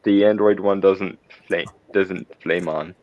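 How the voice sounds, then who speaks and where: Low, dry-sounding male gamer. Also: fairly even intonation, measured pace, darkish tone, no room sound. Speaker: tabletop game player. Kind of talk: casual roleplay game discussion. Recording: dry, dull lo-fi close audio.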